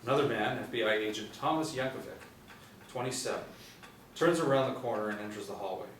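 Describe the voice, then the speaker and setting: A man's voice: medium pitch, quick pace, a bit bright. A man in his forties reading screenplay stage directions as scripted narration. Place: small indoor room, seated. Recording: roomy, distant mic, faint hiss.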